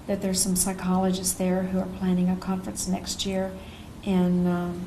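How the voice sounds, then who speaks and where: A woman speaking as a course teacher in a mellow voice of medium pitch. Her intonation stays flat and even, and she talks in a measured, hesitant way with pauses, over light background noise. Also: rough recording. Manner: measured, hesitant, pausing mid-sentence. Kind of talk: unscripted, conversational teaching talk.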